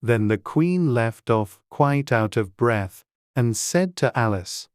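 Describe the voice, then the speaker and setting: A man reading a scripted storybook narration in a measured way as an audiobook narrator. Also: mid-range voice, expressive, clean tone, dry recording, studio quality.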